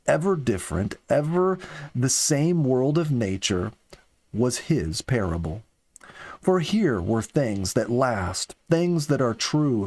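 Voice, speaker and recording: A man hosting and reading a family audiobook as narrator, in a measured, dramatic storytelling delivery. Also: medium pitch, a little mellow, no room sound. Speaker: storyteller and host.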